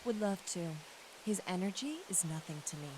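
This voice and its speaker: A woman's voice: lowish voice, expressive, natural voice. A woman playing a vain, hungry siren from the sea in an audio drama, taunting and menacing. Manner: slow, taunting, menacing, hissing. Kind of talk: scripted audio drama acting. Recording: music under the voice.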